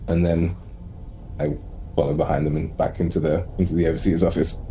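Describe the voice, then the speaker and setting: A man with a deep voice talks casually as a player at a tabletop game. Faint noise is audible behind him. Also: fairly even intonation, brisk, dark tone, rough recording.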